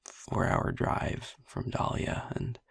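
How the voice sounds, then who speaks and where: A man playing a gentle vampire boyfriend in an intimate audio roleplay, confiding a painful past in a soft, halting way. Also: no echo, natural voice. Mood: wistful, reminiscing. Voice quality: husky, gravelly, breathy low voice.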